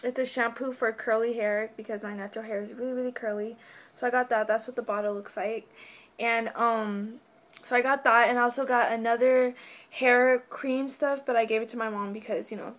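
Young woman, slightly high voice, hoarse from a cold, bubbly rambling vlog chat, webcam in a bedroom. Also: fairly even intonation, a little fast, a bit bright. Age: young adult. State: losing her voice, getting sick. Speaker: vlogger. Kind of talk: casual haul video chat. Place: bedroom, indoors.

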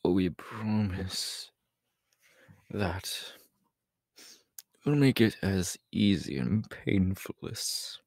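A man playing a sly, teasing, menacing villain in an ASMR audio roleplay, breathy and often slipping into whispers, close on the microphone. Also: sits low, darkish tone, no echo, exaggerated voice. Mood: flirtatious. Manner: slow, drawn-out, teasing. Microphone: close microphone, dry.

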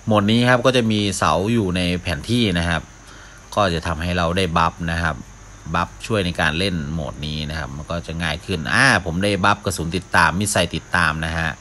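Deep-voiced man doing casual game commentary. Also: brisk, pushed and bright, a little hiss or hum. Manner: mouths sound effects, talks to himself while playing. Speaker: gaming video host. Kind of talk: casual live gameplay commentary.

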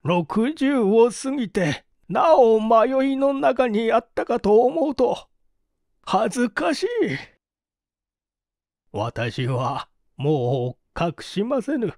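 A man voicing a penitent old man in his sixties, halting and solemn, with old-fashioned formal speech, in an audiobook drama. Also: very high-pitched, expressive, darkish tone, no room sound, clean studio sound, pitched above the performer's own voice, full character voice. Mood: remorseful. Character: anguished, penitent old man over sixty, doting father.

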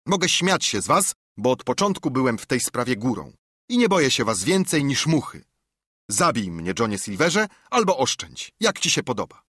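A man acts a brave boy in a sea-adventure storybook. The voice is bold and defiant, with forceful edges, a husky grain and lively rises. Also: mid-range voice, normal speed, no echo, clean studio sound, voice pushed up, full character voice. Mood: defiant, proud. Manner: bold, declamatory. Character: defiant, brave boy narrator facing down pirates. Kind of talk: audiobook character acting.

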